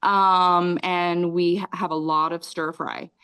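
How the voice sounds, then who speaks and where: Woman, 30s, guest telling her story in a relaxed interview; halting delivery with fillers, low-pitched. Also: clean tone. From Raleigh, North Carolina; raised in Cambodia. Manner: hesitant, many ums and restarts. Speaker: podcast guest. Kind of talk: casual interview chat.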